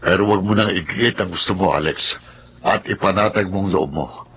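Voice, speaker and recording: A man's voice: sits low, normal speed, fairly bright tone, slight background noise. A man playing a firm, reassuring village captain who speaks formally and with authority in a horror radio drama.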